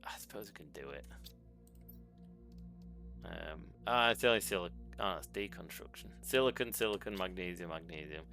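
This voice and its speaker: Man, deep, bright, casual gameplay commentary, desk mic. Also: normal speed, gravelly, long pauses, soft background music. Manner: relaxed, thinking aloud with frequent ums and self-corrections. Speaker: gaming channel host. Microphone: desk microphone.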